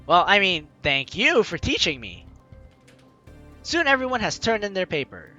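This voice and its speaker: High-pitched, animated male let's player. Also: moderate pace, muffled recording, soft background music. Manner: jokey asides in reaction to the game. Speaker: gaming video host. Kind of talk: let's play commentary mixed with reading game narration aloud.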